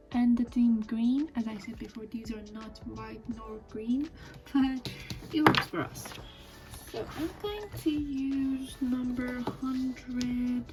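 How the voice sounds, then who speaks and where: A young woman with a Persian accent chatting softly while she draws, thinking aloud as she goes. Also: on the high side, rough recording. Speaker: drawing video creator. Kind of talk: casual chat while drawing. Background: faint music and marker scratching.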